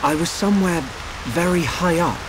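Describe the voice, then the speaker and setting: An actor as earnest high-voiced young hero. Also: male voice, normal speed, background noise, close to an everyday voice. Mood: pensive. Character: earnest, thoughtful young man hero, resolute. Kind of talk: video game cutscene voice acting.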